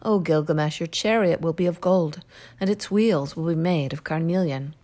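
A woman's voice: low voice, normal speed, dark tone. A woman voicing a haughty goddess. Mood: coaxing. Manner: grand, declaiming. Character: haughty, seductive goddess, commanding and proud.

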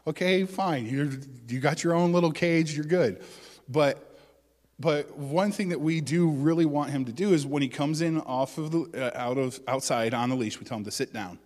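Man, pastor, casual sermon, joking asides, loud emphasis, long hall reverb. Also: fairly high pitch, normal speed.

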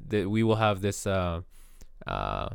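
A man hosting a podcast, talking casually in a halting way with many restarts. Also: low-pitched, sing-song, creaky, clear, resonant tone, dry recording, studio quality. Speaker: podcast host. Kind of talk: casual chat.

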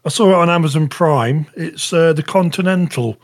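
A man chatting casually as a podcast panellist, halting and rambling, often saying "you know". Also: on the high side. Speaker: podcast panellist reviewing shows. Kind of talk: casual chat.

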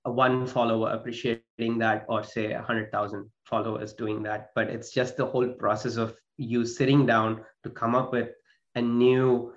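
A man's voice: medium pitch, flat delivery, moderate pace, highs cut off. A man from southern India, a rock singer speaking as an interview guest in a hesitant, searching way. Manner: hesitant, full of "you know" fillers. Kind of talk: casual interview.

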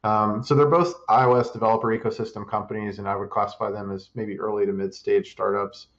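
A man talks through his answers in a level, even way, stopping often to restart a phrase. His voice sits low and has a gravelly edge. The audio sounds slightly dull and muffled. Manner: measured, with many fillers and restarts. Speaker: interview guest, investor and startup advisor. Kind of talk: casual interview answers.

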